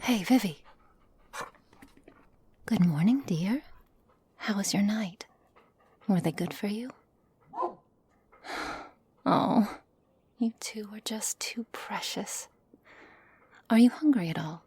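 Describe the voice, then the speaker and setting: A woman playing a cold, menacing witch experimenter in an ASMR roleplay, speaking in a breathy, measured, condescending way. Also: medium pitch, animated, warm tone, no room sound, heavily acted. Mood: gently doting. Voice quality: breathy, often whispery. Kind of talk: ASMR roleplay, scripted acting to listener.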